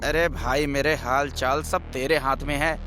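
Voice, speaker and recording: A man playing an exasperated book publisher, wry and businesslike, in a conversational animated story style. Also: mid-range voice, a little slow, noisy background, natural voice.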